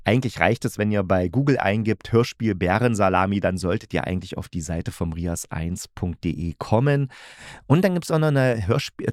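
A man's voice: lowish voice, a little mellow, dry recording, studio quality. A man hosting a casual review monologue, talking enthusiastically and in a rambling way as he searches for words. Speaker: podcast host.

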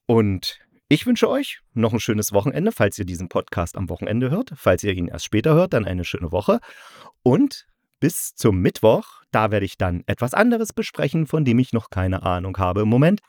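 A man's voice: lowish voice, huge pitch swings, normal speed, darkish tone, dry recording, studio quality. A man hosting a podcast, giving a casual, chatty and enthusiastic review in colloquial language. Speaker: podcast host and radio play reviewer.

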